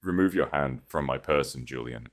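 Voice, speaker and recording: Bir adamın canlandırdığı soğukkanlı, sakin eş. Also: male voice, extremely low pitch, pitched below the performer's own voice. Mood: quietly cold. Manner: soft, deliberate, unflinching. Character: calm, cold, devastatingly composed wronged wife.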